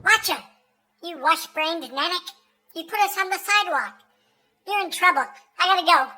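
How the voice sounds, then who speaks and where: A woman's voice: very high-pitched, lively intonation, normal speed, bright, forceful tone, slight background noise, heavily acted, low-quality recording. A woman voicing a frantic, indignant little creature cab driver in an audio drama, sputtering and repeating in a jittery way. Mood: panicked.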